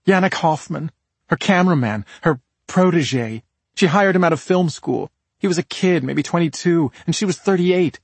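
A man narrator plays an overwrought grieving sister in a crime audiobook. The woman sounds defensive and emotional, with pitch lifted well up, recorded dry. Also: normal speed, breathy edge, no room sound. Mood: bitter.

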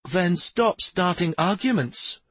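Man voicing a sharp-tongued exasperated wife, pitched high. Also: animated, unhurried, breathy edge, dry recording. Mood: exasperated, scolding. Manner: scolding, blunt.

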